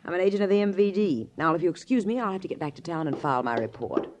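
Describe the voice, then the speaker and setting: A woman's voice: lowish voice, animated, racing, lo-fi sound, lightly acted. An actress playing a cool, official female secret police agent with formal delivery in a 1950s radio drama. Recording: faintly noisy old radio recording.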